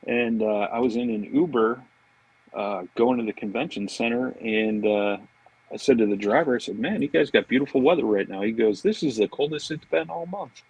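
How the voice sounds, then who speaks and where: A man's voice: mid-range voice, moderate pace, a little mellow, slightly rough, dry recording, low-quality recording. A man chatting casually and telling informal stories as a podcast guest. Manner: informal, anecdotal, peppered with "man" and "you know". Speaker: podcast guest, fishing marketing director. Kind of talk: casual chat.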